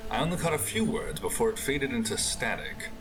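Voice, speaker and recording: A man's voice: medium pitch, animated, fairly quick, noisy background, natural voice. A man playing an aloof, self-satisfied survivalist who records formal, precise log entries in an audio drama. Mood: unsettled. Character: meticulous, aloof male bunker survivalist keeping a log.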